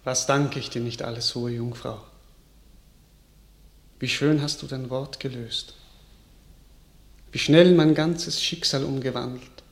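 A man's voice: medium pitch, steady tone. A stately grateful king. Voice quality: stately, measured. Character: grateful, gracious young king. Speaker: actor in a radio play. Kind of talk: classic verse radio drama.

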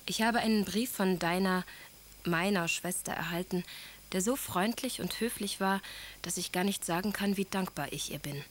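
An actress playing an earnest, ardent young aristocratic bride-to-be, speaking letters solemnly and formally in a German radio play. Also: female voice, lowish voice, moderate pace, close to an everyday voice. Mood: composed, expectant.

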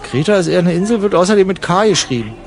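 A man playing a bored, contrary travel companion, deadpan and colloquial, in a conversational radio play. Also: high-pitched, rapid-fire.

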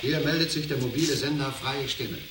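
A man's voice: mid-range voice, a little fast, lightly acted. A man playing a grave, urgent field reporter delivering a formal dramatic report in a science fiction radio drama. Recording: echoey, distant, noisy field broadcast.

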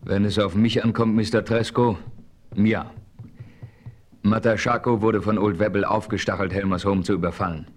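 An actor playing a composed frontier hero in a radio play, speaking calmly and decisively. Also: male voice, on the low side, moderate pace, close to an everyday voice. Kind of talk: 1970s radio play acting.

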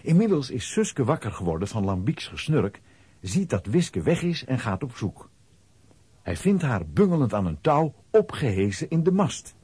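A man's voice: medium pitch, very animated, moderate pace, dark tone, husky, no room sound. A man narrating a children's audio drama in a scripted storytelling style.

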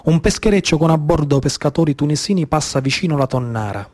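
A man's voice: fairly high pitch, lively intonation, quick pace, darkish tone, no room sound, clean studio sound. A man with a Sicilian accent giving dramatic, declamatory scripted narration as a stage storyteller in short suspenseful phrases.

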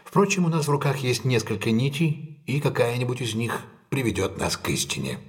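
An actor playing a shrewd, self-possessed gentleman detective in an audio drama, measured and authoritative. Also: medium pitch, expressive, lightly acted. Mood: thoughtful, assured.

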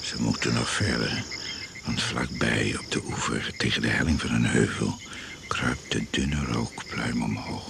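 A man narrating a radio adventure play in solemn, drawn-out, half-whispered phrases, as a grave storyteller. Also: rumbling low, steady tone, vocal fry, exaggerated voice. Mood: solemn, suspenseful. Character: grave, slow storyteller of a jungle expedition adventure. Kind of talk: scripted radio drama narration. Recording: noisy old radio play recording.

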